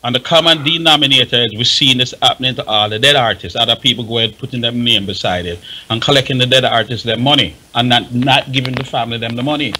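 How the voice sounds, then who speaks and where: A man's voice: mid-range voice, fast talker, hardly any pauses, bright, forceful tone, rough recording. An impassioned man with a Jamaican accent speaking emphatically and repeating himself in a heated radio discussion. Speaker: talk show panelist.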